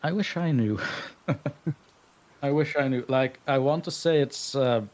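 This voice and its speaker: A man's voice: mid-range voice, takes it slowly, darkish tone. A man from Belgium, a guest story narrator, chatting modestly and laughing often in a live stream interview. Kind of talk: casual interview chat.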